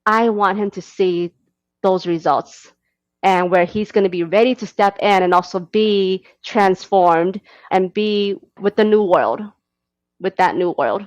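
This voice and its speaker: A woman's voice: mid-range voice, unhurried, no echo. A woman guest on a podcast, talking eagerly about a big vision and laughing between thoughts. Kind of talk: casual interview talk. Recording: dull dry lo-fi audio.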